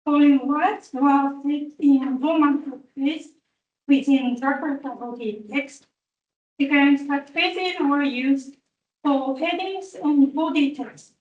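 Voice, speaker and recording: A woman presents at an academic conference in a high voice, taking her time and pausing on fillers as she works through each point. She sounds far from the mic, with the echo of a conference room around her and a dull, muffled tone. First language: Japanese. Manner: careful, halting, with frequent fillers. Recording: roomy, distant, dull recording.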